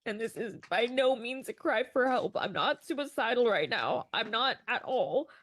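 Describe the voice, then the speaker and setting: A woman making a personal video statement, talking hesitantly with many pauses and ums. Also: on the high side, animated, fairly bright tone, no room sound.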